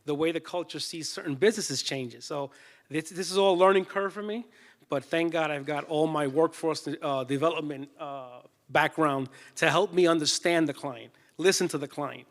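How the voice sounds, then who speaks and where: A man's voice: on the high side, moderate pace. A man from Puerto Rico speaks informally as a panelist, explaining things and often checking in with the listeners. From Puerto Rico, born in New York City. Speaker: panelist, small business loan adviser. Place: conference room. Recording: echoey hall with long reverb.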